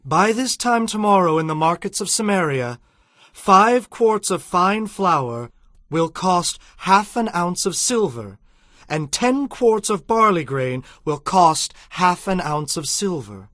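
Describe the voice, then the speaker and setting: A man's voice: high voice, animated, slow pace, fairly bright tone, no room sound, clean studio sound, heavily acted. A man playing a solemn, commanding prophet in a dramatized audio Bible, declaiming his prophecies. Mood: proclaiming. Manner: declamatory, foretelling.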